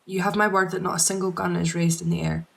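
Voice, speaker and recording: A composed female task force officer acted by a woman in an audio drama, her voice lowish with plenty of fry and fairly even. She is polite, formal and earnest. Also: quick pace. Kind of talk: fan audio drama acting.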